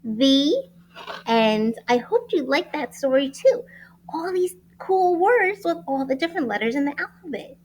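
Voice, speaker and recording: A woman teacher reading a picture book aloud to young children in an expressive, sing-song way with deliberate enunciation. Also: high-pitched, poor audio. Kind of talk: animated children's read-aloud.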